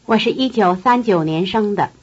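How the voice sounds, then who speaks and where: A woman speaking model sentences on a language tape, slow and carefully enunciated. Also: medium pitch, husky. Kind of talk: drill prompts. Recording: dull lo-fi tape recording.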